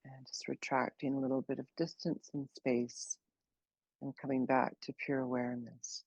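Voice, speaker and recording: A woman leading a guided meditation, speaking slowly and gently in an unhurried way with long pauses. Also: very deep voice, flat delivery, no echo, highs cut off. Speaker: meditation teacher.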